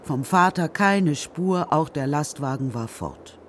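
Slightly high-voiced male narrator. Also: animated, slow pace, slight background noise. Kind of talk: scripted narration of a children's radio play.

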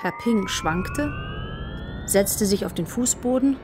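A woman's voice: mid-range voice, lively intonation, takes it slowly, noisy background. A woman narrating a scripted children's tale in a radio play as the storyteller. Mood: plain storytelling.

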